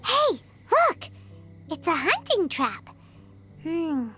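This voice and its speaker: A woman voicing a tiny, excitable, childlike fairy companion in a video game, with a squeaky, perky delivery. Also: very slow, soft background music, heavily acted. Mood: wondering. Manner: perky, refers to self in third person.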